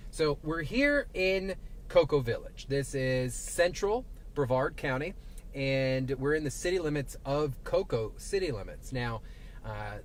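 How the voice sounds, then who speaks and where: A man with a Southern twang, a traffic safety reporter chatting casually through a live stream from inside his truck, rambling with lots of fillers. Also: medium pitch. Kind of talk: live-streamed casual commentary while driving. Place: inside a parked and moving truck. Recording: faint vehicle noise behind.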